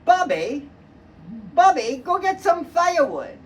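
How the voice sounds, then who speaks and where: A storyteller puts on a mother who badly wants to bake, calling out high and raised. The voice sounds set a little back from the mic. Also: very animated, slow pace, recorded from a distance, muffled recording, a little hiss or hum, heavily acted. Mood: insistent. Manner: raised, insistent calls. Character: mother set on baking scones.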